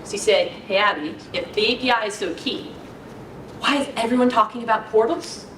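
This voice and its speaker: A woman in her thirties giving an energetic, conversational technical talk to a small audience in an indoor conference room, speaking into a handheld mic. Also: fairly high pitch, fairly quick, background noise. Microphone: handheld mic, far camera.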